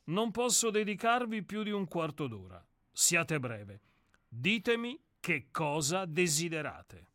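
An actor in a radio play playing an irritable, celebrated writer with a curt, haughty, commanding manner. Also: male voice, on the high side, sing-song, takes it slowly, a bit bright, no echo, studio quality, exaggerated voice. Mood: impatient, dismissive.

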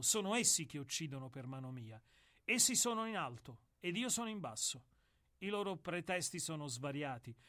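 A man plays a brooding executioner in a radio drama, grave and measured, and deferential to the man he is about to kill. His voice sits a little high for a man. The delivery is solemn and philosophical. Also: fairly bright tone. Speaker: actor in a radio play.

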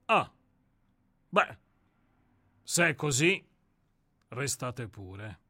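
An actor in a radio play playing an irritable, celebrated writer with a curt, haughty, commanding manner. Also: male voice, medium pitch, sing-song, very slow, pushed and bright, no echo, clean studio sound, heavily acted. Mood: mollified, ironic.

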